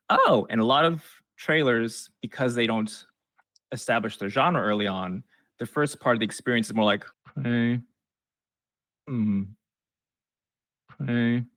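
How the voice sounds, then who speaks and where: A man's voice: medium pitch, big pitch swings, takes it slowly, pauses often, fairly bright tone, no room sound. A man explaining things as an expert guest in a casual interview, often acting out imagined audience reactions in a conversational way.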